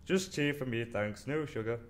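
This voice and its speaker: A man's voice: medium pitch, normal speed, slight background noise, close to an everyday voice. A man playing an arrogant, brilliant, easily bored detective in an audio drama, with a dismissive, clipped and condescending manner. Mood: aloof.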